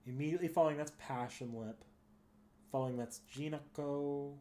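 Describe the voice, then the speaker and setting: A man's voice: a little high, normal speed, dark tone. A man streaming games, chatting casually and unscripted, hesitant and often correcting himself as he thinks aloud. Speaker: live game streamer.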